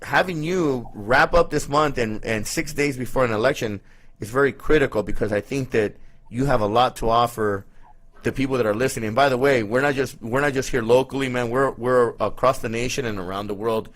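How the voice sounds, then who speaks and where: A man's voice: mid-range voice, fairly quick. Casual, effusive male talk show host. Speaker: live show host interviewing a guest. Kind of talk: casual conversational interview.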